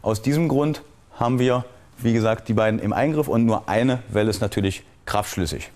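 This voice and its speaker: A man giving an explanatory technical walkthrough as an instructor, often halting to search for the right term. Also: mid-range voice, a little mellow.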